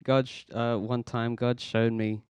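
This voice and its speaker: A man, hosting a casual faith podcast in an earnest, halting way with many restarts. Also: mid-range voice, flat delivery, darkish tone, clean tone, dry recording, highs cut off. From Philippines, raised in Australia. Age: 20s. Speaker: podcast host. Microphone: podcast microphone.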